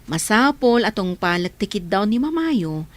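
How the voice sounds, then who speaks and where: A woman's voice: medium pitch, big pitch swings, normal speed, close to an everyday voice. A woman playing a firm, reassuring motherly figure, speaking earnestly in radio drama style. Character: firm, reassuring motherly elder of orphaned children. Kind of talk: Filipino radio drama acting.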